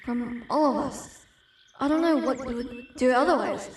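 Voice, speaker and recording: A woman playing a gentle, anxious female ghost who pleads earnestly in a fantasy audio roleplay. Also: high-pitched, normal speed, natural voice. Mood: pleading. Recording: echoey, distant, with clear background noise.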